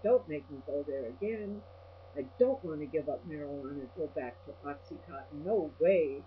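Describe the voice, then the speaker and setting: A woman's voice: sits low, moderate pace. An older woman video blogger giving a rambling personal monologue in a blunt, salty-tongued, ranting manner. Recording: dull lo-fi audio with mains hum.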